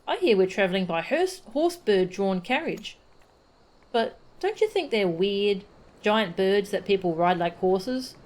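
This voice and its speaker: A woman's voice: medium pitch, a little slow, close to an everyday voice. Woman playing an eager, chatty young boy. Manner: chatty, excitable. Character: young boy refugee, eager and curious game character.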